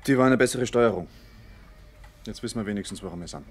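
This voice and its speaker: Rapid, clipped man as an engineer. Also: fairly low pitch, slight background noise, natural voice. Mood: dry, businesslike. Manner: clipped, pressing. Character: terse, urgent male engineer giving hushed orders. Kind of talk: naturalistic radio drama acting.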